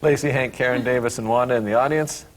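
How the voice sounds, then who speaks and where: A man's voice: mid-range voice, fairly even intonation, fast talker. A man reads scripted stage directions as narrator at a table read. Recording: reverberant room, faint background noise.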